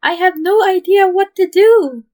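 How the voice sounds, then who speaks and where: Frantic, high-pitched whiny teenage boy, acted by a woman. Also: unhurried, a little mellow. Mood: panicked wailing. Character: distraught, panicky, sniffling teenage boy.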